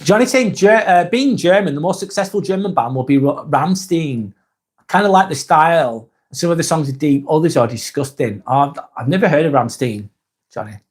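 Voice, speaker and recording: A man from Lancashire with a Lancashire accent, hosting a casual live stream and reading viewer comments aloud in a soft, hesitant way. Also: a little high, animated, a little fast, breathy edge. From Lancashire, England.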